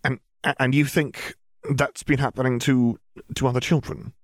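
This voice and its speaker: Man voicing a hesitant father, raised pitch. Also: flat delivery, a little slow, dry recording, clean studio sound, close to an everyday voice. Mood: worried. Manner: hesitant, stammering questions. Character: hesitant, worried bespectacled father.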